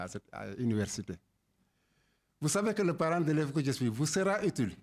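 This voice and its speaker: A man's voice: medium pitch, a little mellow. A man speaking for pupils' parents in a declamatory formal speech. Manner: declamatory, rising on key words. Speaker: parents' representative.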